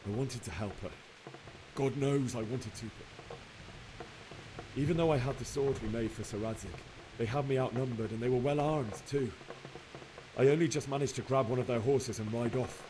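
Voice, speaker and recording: An actor playing a young blacksmith's son in a video game, earnestly and sorrowfully recounting a massacre. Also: male voice, mid-range voice, normal speed, noisy background, natural voice. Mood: shaken. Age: young adult. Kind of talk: dramatic game cutscene acting.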